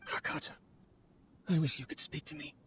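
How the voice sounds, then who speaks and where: A man's voice: a little high, fairly even intonation, moderate pace, a bit bright, a little hiss or hum, close to an everyday voice. A man playing a weary, lonely researcher in a video game monologue, wistful and solemn in manner. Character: weary, lonely male researcher obsessed with his machine creation.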